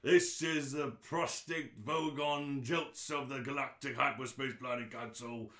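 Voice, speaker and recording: A man's voice: medium pitch, steady tone, unhurried, pushed and bright. A man voicing a pompous, callous alien bureaucrat in a radio play read-through, exasperated and official. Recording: roomy, echoing room sound.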